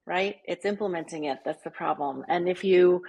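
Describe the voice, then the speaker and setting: A husky, slightly low-pitched woman talks through her advice as a podcast guest. She is chatty and conversational and keeps checking in with "right?". She comes across as a small business coach sharing tips. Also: moderate pace, a little mellow. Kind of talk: podcast interview.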